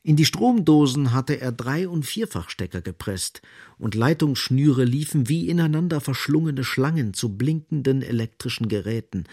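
A man narrating a literary radio play in an observant, expressive manner, with a slightly husky voice. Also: mid-range voice.

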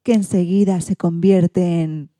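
A woman reading a children's storybook in an expressive, theatrical way. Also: on the low side, unhurried. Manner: theatrical, acts out sound effects and exclamations.

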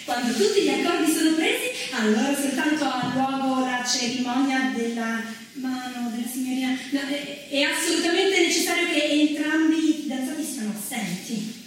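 An actress playing a didactic etiquette adviser and narrator in a theatrical monologue, with expressive delivery and dramatic pauses. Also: female voice, high voice, slight background noise, close to an everyday voice. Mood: knowing, instructive. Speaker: stage actress. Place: theatre stage, recorded from afar. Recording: very echoey theatre, distant mic, music.

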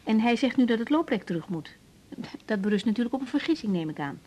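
A woman's voice: fairly high pitch, sing-song, quick pace, mellow. An actress playing a caring, informal young female doctor in a naturalistic radio drama. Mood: concerned, polite. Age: young adult.

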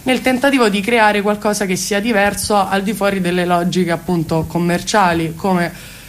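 A woman's voice: medium pitch, steady tone, normal speed. A woman speaks as an interview guest, explaining earnestly and at length with hesitant phrasing. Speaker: guest, cultural space activist. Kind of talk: spoken radio interview, explanatory.

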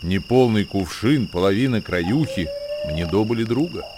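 A man performing a solemn, measured dramatic recitation of old proverbs as a radio actor. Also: lowish voice, animated, background noise. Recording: music behind the voice. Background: music.

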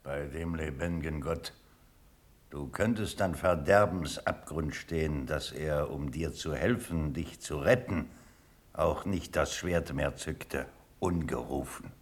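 An actor playing a blunt, loyal old cavalry colonel in a classical verse radio drama, declaiming emphatically. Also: male voice, extremely low pitch, slow pace. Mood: fervent loyalty.

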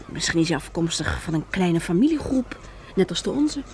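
An actress playing an anxious, earnest wife surviving in the countryside in a Dutch radio drama. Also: female voice, fairly low pitch, very animated, moderate pace, darkish tone, a little hiss or hum. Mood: dejected.

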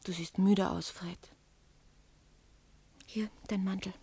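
Observant milk bar assistant, mid-pitched voice. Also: female voice, steady tone, slow, mellow, slightly rough, exaggerated voice. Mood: quiet, concerned. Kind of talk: German radio crime play acting.

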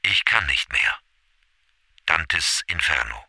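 An actor playing a stern announcer who reads classical quotations in a formal, declamatory way. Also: male voice, very deep voice, animated, slow, gravelly, bright, forceful tone, no room sound, studio quality, full character voice. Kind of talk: scripted quotation reading.

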